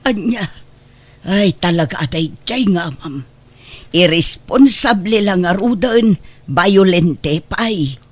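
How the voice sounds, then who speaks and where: Woman as middle-aged godmother, protective, concerned, expressive, radio drama, dry recording. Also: on the low side, slow pace, no room sound. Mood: concerned, indignant. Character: protective, sympathetic godmother sheltering a runaway. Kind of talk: Ilocano radio drama acting.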